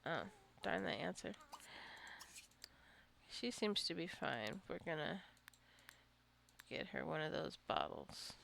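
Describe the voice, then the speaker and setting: A woman giving casual, rambling gameplay commentary as a let's-play host, musing aloud to the game's characters in a creaky voice. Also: sits low, sing-song, slow pace, long pauses, fairly bright tone, slight background noise.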